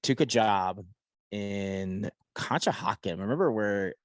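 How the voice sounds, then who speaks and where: Male podcast guest, forties, Philadelphia accent, low voice, casual talker, dry close recording. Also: slow pace, no room sound. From Poland. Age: 40s. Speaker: podcast guest, e-commerce entrepreneur. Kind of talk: casual chat.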